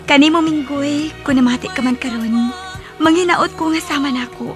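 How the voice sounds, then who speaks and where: A woman acting in a radio drama as a soft-spoken, wistful young woman telling her life story. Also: high-pitched, normal speed, music in the background, natural voice. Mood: tender.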